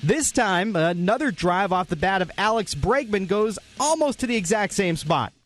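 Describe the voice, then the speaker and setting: A man hosting a radio postgame show, recapping the highlights in a brisk, informative, scripted way. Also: lively intonation, fairly bright tone.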